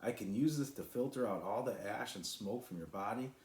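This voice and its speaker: A man's voice: on the low side, a little fast, darkish tone, pitched above the performer's own voice, close to an everyday voice. Storybook role: encouraging, determined young boy adventurer read by a man, echoey room sound.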